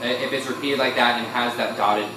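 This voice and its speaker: A man's voice: mid-range voice, steady tone, a little fast, recorded from a distance, background noise. A man gives an informal instrument tutorial in an echoey room, a little off the mic. He explains step by step in a hesitant way, often correcting himself. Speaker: instructor demonstrating a talk box.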